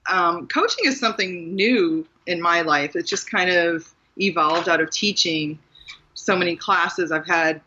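A middle-aged woman from Maryland, talking frankly about her own life and often laughing at herself. Her voice is bright and forward. The sound is a little muffled and lo-fi. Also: on the low side, a little slow. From Maryland, USA. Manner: candid and self-deprecating, laughs often. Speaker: guest author. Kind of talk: casual interview conversation.

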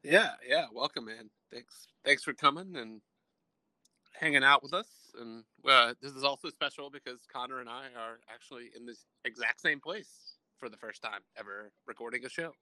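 Co-host, male, mid-pitched and strikingly forceful, relaxed chatty wording, dry recording. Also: moderate pace, no room sound, poor audio. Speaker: podcast co-host. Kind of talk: casual chat. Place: indoors, in person with guest.

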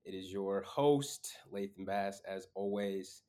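Low-voiced, stuffed-up man hosting a podcast. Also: slow pace, mellow, clear, resonant tone. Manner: conversational, with frequent ums and uhs. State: stuffed-up nose, sniffly. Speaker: podcast host. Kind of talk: casual solo podcast talk.

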